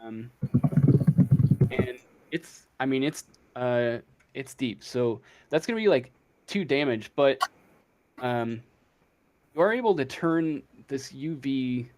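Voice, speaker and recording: A man improvising narration as game master of a tabletop role-playing game, halting as he thinks aloud. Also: medium pitch, animated, a little mellow.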